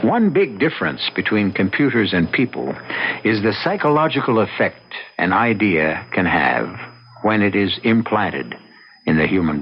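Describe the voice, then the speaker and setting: Old-time radio narrator: man, very low creaky voice, ominous expressive phrasing, loud music behind, muffled recording. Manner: measured, ominous, deliberate phrasing. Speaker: host and narrator of radio mystery drama.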